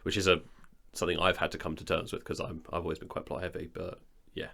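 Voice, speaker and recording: A man's voice: deep voice, level tone, fast, vocal fry, bright, forceful tone. A man co-hosting a casual conversation about creative work, speaking in a blunt, dryly joking way. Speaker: podcast co-host and audio drama writer.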